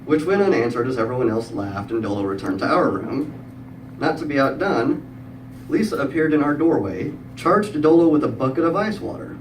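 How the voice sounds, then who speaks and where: A middle-aged man tells a humorous story live, in a prepared style with wry, dry wit and comic pauses. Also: medium pitch, expressive. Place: live event room with audience. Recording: echoey room, distant mic, clear background noise.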